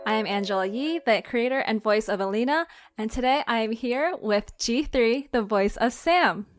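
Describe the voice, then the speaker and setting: A woman's voice: fairly high pitch, expressive, moderate pace, faint music behind. A woman hosting a podcast in a casual, giggly and informal interview style. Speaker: podcast host and creator.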